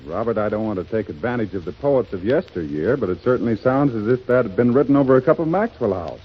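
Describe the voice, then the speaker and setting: Husky 1930s radio announcer man. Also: medium pitch, expressive, quick pace. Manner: smooth, persuasive ad-read delivery. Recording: dull 1930s radio broadcast recording.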